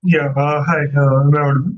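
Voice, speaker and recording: A man's voice: a little high, flat delivery, brisk, highs cut off. A man calling in as an analyst, asking hesitant, rambling questions on an earnings call over an unclear line. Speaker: analyst caller.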